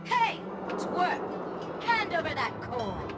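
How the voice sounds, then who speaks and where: An actress playing a rude, scornful bullying thug in a video game. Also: female voice, high voice, big pitch swings, very slow, full character voice. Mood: menacing. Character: bullying, sneering female thug. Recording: background music throughout.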